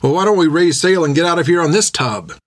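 A man's voice: fairly high pitch, expressive, fast talker, no echo, studio quality, pitched above the performer's own voice. A man voicing a bold, eager young female sailor, direct and spirited, in an audiobook adventure.

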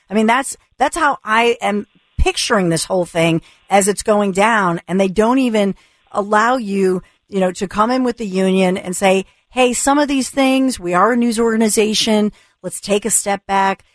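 A woman hosting a talk radio show, animated and teasing, laughing often as she talks with her guest. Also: medium pitch, dry recording.

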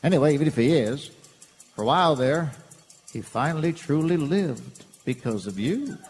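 Kindly older townsman, high animated voice, folksy, emphatic, radio comedy, hall reverb, music behind. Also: normal speed. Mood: consoling. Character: kindly small-town Pop, earnest older man, comforting. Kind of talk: live comedic radio theatre acting.

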